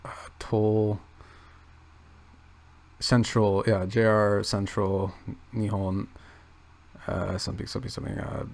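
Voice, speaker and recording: A man quietly thinking aloud in a gravelly low register, rambling with many restarts and fillers. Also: flat delivery, slow, long pauses. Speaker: channel host. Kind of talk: casual rambling commentary.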